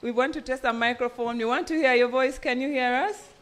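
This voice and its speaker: Panel moderator: woman, Ugandan accent, higher-pitched voice, formal live introductions at a conference. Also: fairly even intonation, fairly quick. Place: event venue, speaking live to an audience.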